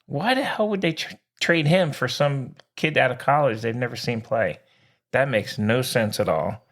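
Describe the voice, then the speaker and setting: A man's voice: mid-range voice, moderate pace, fairly bright tone. An American man co-hosting a sports podcast, chatting casually in a slangy, exclamatory, teasing way.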